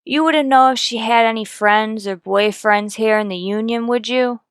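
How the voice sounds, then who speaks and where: A woman's voice: on the high side, moderate pace, clean tone, no room sound, clean studio sound, lightly acted. A woman playing a tough, firm police detective, terse and pressing, in a radio detective drama. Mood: matter-of-fact. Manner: terse, hard-boiled, pressing. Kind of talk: old-time radio detective drama acting.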